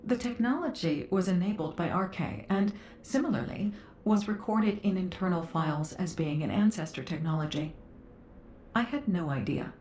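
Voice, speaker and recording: A woman playing a composed, earnest researcher in a video game, with a measured and formal delivery. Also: fairly low pitch, expressive, a little mellow, echoey room, slight background noise, close to an everyday voice. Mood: matter-of-fact. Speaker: voice actor. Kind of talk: dramatic game dialogue.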